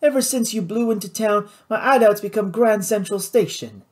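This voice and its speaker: Man playing excitable pirate boss, swooping high. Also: moderate pace. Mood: seething. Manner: indignant, scolding, peppered with French exclamations. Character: hot-tempered exasperated Cajun male pirate boss.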